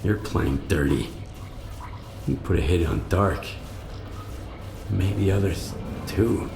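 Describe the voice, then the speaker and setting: A wary, dry-humored stalker in the Zone, his voice very deep as he speaks in a measured, skeptical way. The game audio is roomy, with clear ambient noise beneath it. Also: over music, exaggerated voice. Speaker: video game protagonist. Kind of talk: video game cutscene voice acting.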